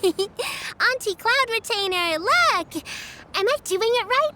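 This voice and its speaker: A woman's voice: very high voice, animated, slow, full character voice. A woman voicing a small, cheerful young girl in a fantasy video game, bubbly and childlike, full of exclamations. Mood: eager, playful. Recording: game audio under loud background music.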